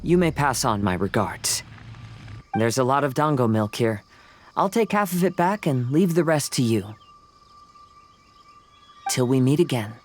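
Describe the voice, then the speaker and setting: A man voicing a calm, polite, thoughtful young wanderer in a video game, with composed and measured phrasing. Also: medium pitch, a little hiss or hum, close to an everyday voice. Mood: courteous, gentle. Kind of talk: video game character acting.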